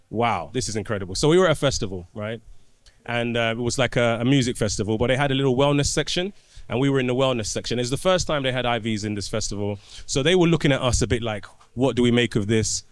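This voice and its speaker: A man, a doctor guest on a podcast, explaining his work through anecdotes in relaxed conversation and often restarting his phrases. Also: mid-range voice, dry recording.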